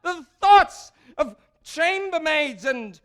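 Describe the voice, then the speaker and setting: An excitable young composer played by a woman in a stage drama. The voice is high and swings widely, with unhurried, stammering phrases that keep breaking toward giggles. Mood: rapt, building. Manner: stammering, breathless bursts, giggly. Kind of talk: theatrical stage acting.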